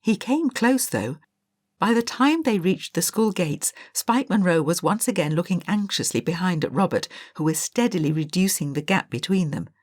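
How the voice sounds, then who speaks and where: A woman reading an audiobook story aloud as narrator, in a measured, expressive storytelling manner. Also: lowish voice, dry recording, studio quality.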